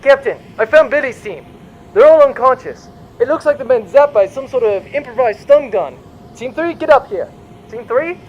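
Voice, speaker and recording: A man's voice: very high-pitched, animated, normal speed, darkish tone, a little hiss or hum. A man playing an anxious, dutiful pirate henchman who reports urgently in a comic sci-fi audio drama. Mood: urgent, alarmed. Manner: urgent, raised voice. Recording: muffled sound with faint music.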